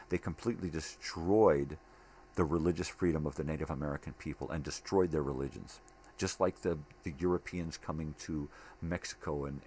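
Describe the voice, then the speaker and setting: Deep-voiced older man, blunt and gravelly. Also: a little mellow. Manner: blunt, opinionated, profane. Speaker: daily vlog host. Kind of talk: casual rambling monologue to camera. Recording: clearly noisy home recording.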